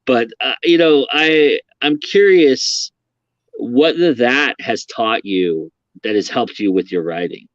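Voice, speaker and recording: Laughing, rambling podcast host, dry sound. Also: male voice, medium pitch, expressive, takes it slowly, dry recording, muffled recording. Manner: laughs often, rambling with many restarts. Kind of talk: casual interview chat.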